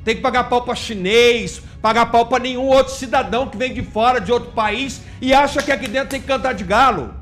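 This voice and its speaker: Brazilian male commentator, high voice, indignant and mocking, informal political talk over music. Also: brisk, hardly any pauses, fairly bright tone. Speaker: video commentator.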